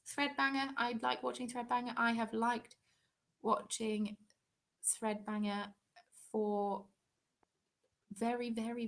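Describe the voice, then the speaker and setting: Young English woman, live-stream host, rambling casual chat, a touch high-pitched. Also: creaky. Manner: chatty, rambling, frequent ums. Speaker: craft channel host.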